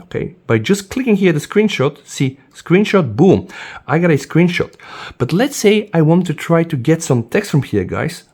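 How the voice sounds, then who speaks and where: Animated man. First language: Bulgarian. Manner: enthusiastic, addresses viewers as "guys", says "boom" often. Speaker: tech reviewer. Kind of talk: casual product demo.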